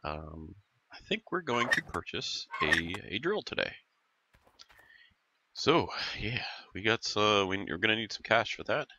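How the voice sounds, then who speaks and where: A man's voice: fairly low pitch, expressive, unhurried, dull audio, heavy background noise. A man hosting a gaming channel, casually narrating his gameplay while stuffed up and growly, thinking aloud and correcting himself as he goes. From Canada. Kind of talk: casual let's-play commentary.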